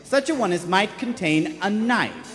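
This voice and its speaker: Eager, respectful courtroom witness played by an actor, lively radio play delivery, reverberant hall. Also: mid-range voice, unhurried. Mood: earnest testimony. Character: earnest, dramatic courtroom eyewitness, deferential. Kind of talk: live radio play acting. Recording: live theater hall, faint music.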